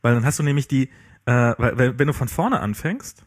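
A man's voice: medium pitch, lively intonation, brisk. A man in casual conversation, explaining a coding idea hesitantly with restarts. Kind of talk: casual tech chat.

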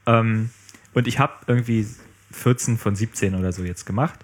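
A man's voice: lowish voice, fairly even intonation, moderate pace. A man talking casually about programming, rambling with many fillers and restarts. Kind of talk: casual tech chat.

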